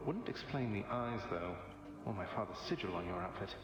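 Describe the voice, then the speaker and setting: A man's voice: on the low side, expressive, fairly quick, lightly acted. A man voicing a wry, easygoing young underworld prince in a video game, with a sardonic, casual manner. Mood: bemused, wry. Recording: long echoing reverb with music behind.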